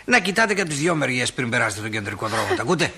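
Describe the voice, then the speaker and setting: An actor playing a kindly, chatty older small-town shopkeeper in a stage drama, bustling along, repeating himself and grumbling good-naturedly. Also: male voice, mid-range voice, sing-song, sharp tone, roomy sound. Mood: fussing, concerned. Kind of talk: stage drama acting for broadcast.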